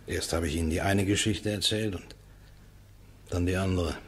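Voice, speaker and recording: An actor playing a resigned condemned prisoner in a German radio drama, speaking in a halting, subdued, very husky voice. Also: male voice, sits low, fairly even intonation. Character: resigned condemned prisoner protesting his innocence, grateful.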